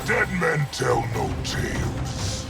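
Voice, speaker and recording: An actor playing a smug, menacing general and conspirator in a dramatic video game, slow and deliberate in his threats. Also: fairly high pitch, very animated, creaky, full character voice. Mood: smug menace. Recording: loud music under the voice.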